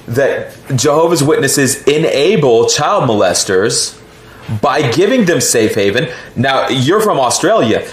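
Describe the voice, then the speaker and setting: A man in casual, opinionated conversation, speaking emphatically and indignantly and often repeating himself for stress. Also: fairly high pitch. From United States. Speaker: host. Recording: echoey room.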